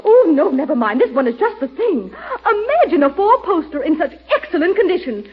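Gushing, high-voiced society decorator. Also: female voice, sing-song, a little fast. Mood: brisk, genteel. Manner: affected, gushing, emphatic, tittering. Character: refined, snobbish, gushing female interior decorator. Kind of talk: classic radio situation comedy acting. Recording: dull, band-limited vintage radio recording.

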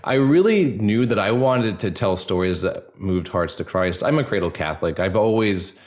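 Male podcast guest with a low voice, casual and informal, feeling his way through a personal story. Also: expressive, normal speed.

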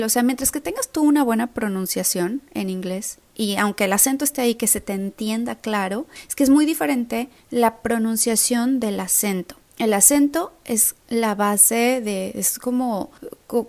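A chatty young woman with a Mexican accent talks through her solo nutrition podcast, her voice sitting a little high. She drifts between points with plenty of fillers and pokes fun at herself. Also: gravelly, darkish tone. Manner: chatty, digressive, self-deprecating, with frequent fillers. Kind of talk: casual solo podcast talk.

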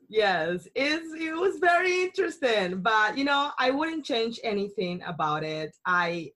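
A woman's voice: medium pitch, sharp tone. A woman of about forty from Colombia, a native Spanish speaker with a Colombian accent, talking about her life on a casual podcast. She is bubbly and laughs often. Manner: bubbly, laughs often, chatty with frequent restarts. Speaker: podcast host being interviewed.

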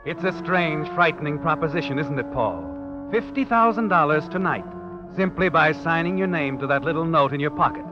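A man plays an ominous narrator of a 1940s radio thriller, theatrical and insinuating. His fairly high voice rises and falls dramatically over prominent music, on a muffled old recording. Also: expressive, normal speed, close to an everyday voice. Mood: sinister, insinuating. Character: ominous, taunting male narrator addressing the protagonist.

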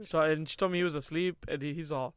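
A man's voice: on the high side, steady tone, quick pace, smooth, no room sound, clean studio sound. A young man co-hosting a casual podcast, joking around, laughing easily and repeating lines back. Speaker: podcast co-host. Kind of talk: casual chat.

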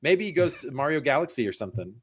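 A man's voice: mid-range voice, big pitch swings, fast talker, husky. A man chatting casually on a group podcast, thinking aloud as he goes with some hesitation. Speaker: podcast panelist. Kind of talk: casual group chat about pop culture.

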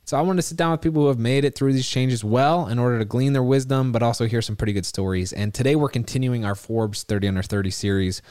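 A young man hosting an interview podcast, introducing his guest and asking friendly, measured questions. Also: mid-range voice, darkish tone, dry recording, studio quality. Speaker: podcast host.

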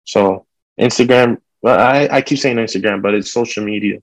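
Low-voiced man chatting casually. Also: normal speed, no room sound, rough recording. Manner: informal, uses street slang. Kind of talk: casual conversation.

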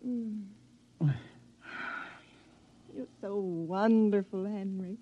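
A woman's voice: medium pitch, fairly even intonation, slow. A woman playing a doting, clinging housewife eager to please, in classic radio drama style. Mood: adoring. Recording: dull old radio recording.

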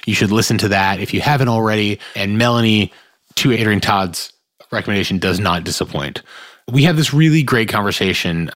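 A man's voice: lowish voice, fairly bright tone, dry recording, studio quality. A man hosting a podcast, reading an earnest, reflective introduction to the show and its guest. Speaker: podcast host.

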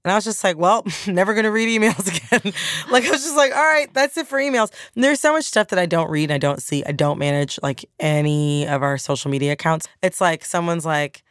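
A woman's voice: on the low side, brisk, clean tone, no echo. A woman podcast host in a studio, talking bluntly and with energy, acting out her replies to critics. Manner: blunt, animated, acts out retorts. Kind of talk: casual studio chat. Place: recording studio. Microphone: studio microphone.

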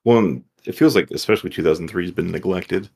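A man's voice: sits low, quick pace, gravelly, darkish tone, slightly rough. A man chatting on a podcast in a laid-back way, often chiming in with short agreement. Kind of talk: casual chat.

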